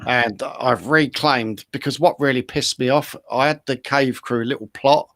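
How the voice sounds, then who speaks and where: A man's voice: mid-range voice, normal speed, fairly bright tone, no echo. Man chatting, dry close sound. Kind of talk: casual rambling chat.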